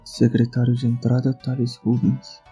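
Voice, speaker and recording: A man's voice: medium pitch, monotone, moderate pace, warm tone, breathy edge, natural voice. A man acting a reserved, anxious teenage boy, a new student, in an audio novel. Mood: hesitant, polite. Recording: music under the voice.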